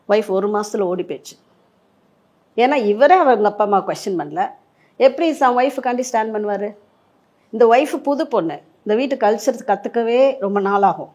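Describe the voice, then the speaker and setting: Female coach, fairly high voice, interview setting, emphatic explainer who poses rhetorical questions and drops in English words. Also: a little mellow. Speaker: life coach giving relationship advice.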